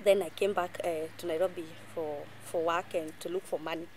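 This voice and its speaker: A Kenyan woman in her thirties, a guest telling her life story in a measured, reflective way, switching between English and Swahili, recorded outdoors in a garden on a lapel mic. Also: lowish voice, steady tone, a little mellow, a little hiss or hum. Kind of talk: personal testimony in conversation.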